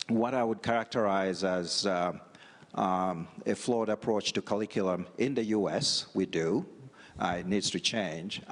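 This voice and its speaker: A middle-aged man with a very deep voice speaks on a conference panel. He halts often, filling gaps with ums and uhs. His voice rings with the long reverb of a large hall. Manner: hesitant, halting with many fillers. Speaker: panelist, engineering dean. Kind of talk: panel talk.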